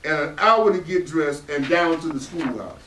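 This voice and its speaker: A man acting a stern, bitter father in a stage play. His voice is high for a man and his manner domineering and profane. It is picked up from a distance in a very roomy space. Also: animated, moderate pace, sharp tone, slight background noise. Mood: gruff, warning. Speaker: actor in a readers theatre play. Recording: roomy stage pickup from far off.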